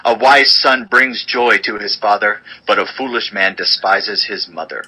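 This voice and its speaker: A man narrating an audio Bible as a dramatized reading, with a measured, solemn, declarative delivery. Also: on the low side, sharp tone, breathy edge, poor audio.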